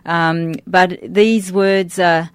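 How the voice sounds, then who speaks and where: A middle-aged woman speaks in a somewhat low register, recorded dry with hardly any room sound. English is her second language. She talks informally in an interview, pausing and rephrasing as she thinks aloud. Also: steady tone, smooth, dry recording. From grew up outside Australia. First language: a language other than English. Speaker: interview guest, church worship team member. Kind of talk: conversational interview.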